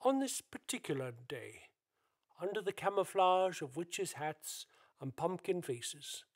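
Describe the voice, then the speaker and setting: Slow, higher-pitched male storyteller. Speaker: narrator. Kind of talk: dramatic scripted storytelling for a radio play.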